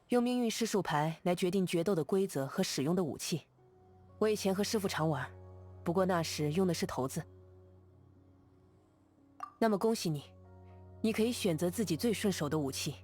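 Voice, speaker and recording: Game heroine, calm young swordswoman, voiced by a woman; measured, quietly confident, lowish voice. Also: faint music behind, close to an everyday voice. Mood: composed. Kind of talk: game character voice lines.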